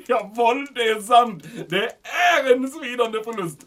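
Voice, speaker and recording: An actor playing an excitable, self-important German camp commandant, speaking Swedish with a German accent. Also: male voice, very high voice. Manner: pompous, excitable. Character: fussy German camp commandant, middle-aged man. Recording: distant from mic.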